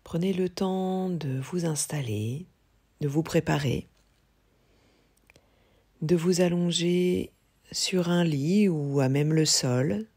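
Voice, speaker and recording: Slow, husky woman guiding meditation. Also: deep voice. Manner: calm, measured, instructional with long pauses. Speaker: yoga teacher. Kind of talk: guided yoga nidra meditation.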